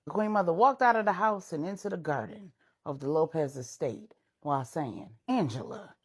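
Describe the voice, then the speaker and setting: A woman's voice: low-pitched, normal speed, slightly rough. A woman storyteller narrating serial fiction in a dramatic style.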